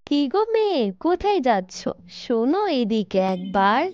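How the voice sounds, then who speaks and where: A woman's voice: on the high side, big pitch swings, moderate pace, darkish tone. A woman voicing a soothing, mysterious magical helper in a cartoon fairy tale, on a dull lo-fi track. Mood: beckoning.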